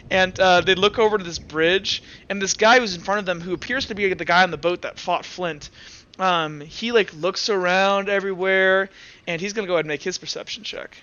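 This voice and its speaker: A man running a tabletop game as game master, narrating casually with halting, informal, sweary improvisation. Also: high-pitched, bright, forceful tone, muffled recording.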